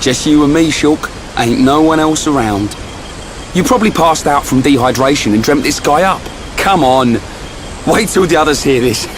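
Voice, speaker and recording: A man's voice: a little high, expressive, normal speed, noisy background, natural voice. A man with a British accent voicing a brash, teasing young sidekick and loyal friend in a video game. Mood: sceptical.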